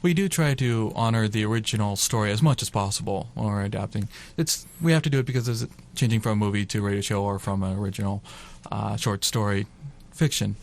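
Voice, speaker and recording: A man's voice: fairly low pitch, fast talker, vocal fry, few pauses. A man talking casually as a guest in a radio interview about his group's work. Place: college radio station.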